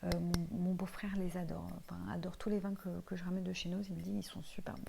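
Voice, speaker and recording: A woman's voice: fairly low pitch, little pitch movement, fast, vocal fry, dark tone, slight background noise. A woman of Portuguese heritage, living in France, chatting casually to her viewers as she reviews finished products, with lots of hesitant fillers. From Portuguese heritage, lives in France. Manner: chatty, many fillers, addresses viewer informally. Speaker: vlogger reviewing used-up products. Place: home, tiled room.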